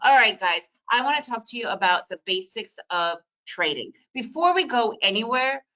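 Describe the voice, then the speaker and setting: Energetic bright-voiced woman trading coach. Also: fairly high pitch, moderate pace, dull audio. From Soviet Union. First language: Russian. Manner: energetic, emphatic, repetitive phrasing. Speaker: trading educator. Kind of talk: webinar presentation.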